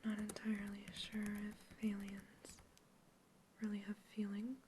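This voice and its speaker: A young woman whispering close to the mic in a sci-fi ASMR roleplay, calmly questioning and reassuring as a scientist observing an alien. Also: mid-range voice, unhurried, slight background noise. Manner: soft whisper throughout, calm and reassuring. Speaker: ASMR creator. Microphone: close ASMR microphone.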